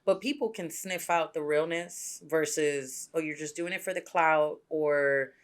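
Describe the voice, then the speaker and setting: A man from Mexico, a DJ guest, talking candidly in a relaxed interview and often checking in with "right?". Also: on the high side, measured pace, clear, resonant tone. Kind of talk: casual interview talk.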